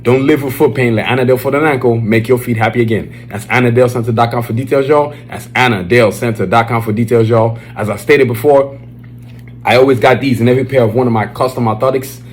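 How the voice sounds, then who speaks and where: A man with a Nigerian accent hosting his own podcast, talking loosely and excitedly with lots of slang fillers. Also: medium pitch, fast, slight background noise. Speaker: podcast host. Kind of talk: casual solo podcast chat. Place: Dallas, Texas area.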